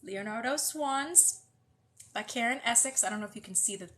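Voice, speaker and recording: Woman host, somewhat high-pitched, congested and nasal, loose chatty livestream delivery. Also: animated, moderate pace, sharp tone. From US West Coast. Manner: chatty, friendly, many fillers and restarts. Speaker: host of a live book reading. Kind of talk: casual livestream chat greeting viewers.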